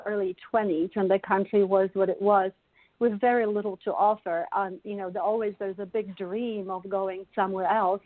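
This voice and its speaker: A woman's voice: mid-range voice, no echo. A middle-aged woman from Poland with a Polish accent, a wellness coach telling her life story in an interview, laughing often as she talks. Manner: warm, laughs often while telling stories. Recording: dry, tinny call audio.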